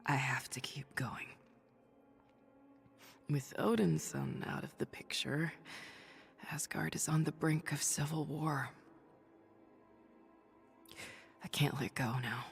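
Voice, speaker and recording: A woman voicing a weary, noble superhero thunder goddess for a video game, confiding in measured, breathy speech. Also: low-pitched, in a whisper, long reverb tail, soft background music, heavily acted. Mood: weary, conflicted. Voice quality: breathy, husky. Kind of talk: video game voice acting, dramatic dialogue and audio-log monologue.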